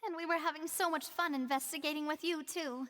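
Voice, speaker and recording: A woman's voice: high-pitched, fairly even intonation, brisk, a bit bright, long reverb tail, a little hiss or hum. A woman playing a friendly, genteel young female boss in an anime video game, with polite, flowery and slightly wistful phrasing.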